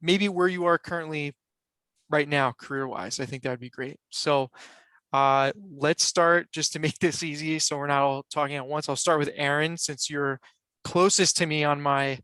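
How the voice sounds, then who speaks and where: A man hosting a panel over a video call, with a bright, crisp voice close to a dry mic. He rambles a little, circling through fillers and quick apologies as he steers the speakers. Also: a little high, steady tone, no echo. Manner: loose, filler-heavy, apologetic. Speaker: panel moderator.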